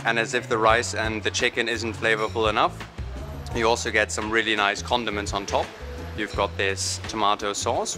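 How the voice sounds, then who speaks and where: Young man raving about food. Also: lowish voice. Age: young adult. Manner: enthusiastic food description. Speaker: travel vlogger. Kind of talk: travel vlog commentary. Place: indoor snack restaurant. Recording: music bed underneath.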